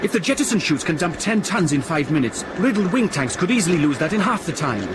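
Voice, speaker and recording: A man's voice: sits high, sing-song, fast talker. A man acting a precise, worried flight engineer in a radio drama, with an exact technical manner. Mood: urgent, factual. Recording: noisy aircraft cockpit ambience.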